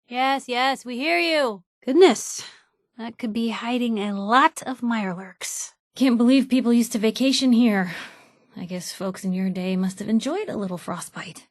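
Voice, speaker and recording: A young woman reporter from a video game, nosy and quick with a sarcastic remark. Her voice sits fairly high and is recorded close and dry. She sounds wry and inquisitive, like a companion who always has a comment or a question. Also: dry recording. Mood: wry, curious.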